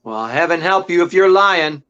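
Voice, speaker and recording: On a slightly muffled recording, a determined old-time radio gumshoe, played by a man, talks in brusque slang. He is wisecracking and commanding, with a fairly high voice. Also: animated, moderate pace, fairly bright tone. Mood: tough, pressing. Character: tough, determined, wisecracking male private detective. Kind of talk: 1940s radio acting. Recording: dull, band-limited sound.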